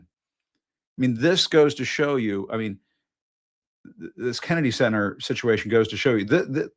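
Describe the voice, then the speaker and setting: A middle-aged man hosting a political news show, talking casually and mockingly, often restarting and repeating his lines. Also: mid-range voice.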